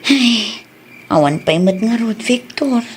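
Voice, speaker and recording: High-voiced Filipino young wife played by a woman, faint noise. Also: animated, slow. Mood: questioning. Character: affectionate, gently nagging young wife. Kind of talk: Filipino radio drama acting.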